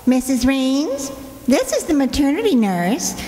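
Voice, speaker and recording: An actress playing a brisk maternity nurse with a singsong announcing delivery in an old-time radio comedy. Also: female voice, high voice, sing-song. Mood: cheerily announcing. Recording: echoey hall, clear background noise.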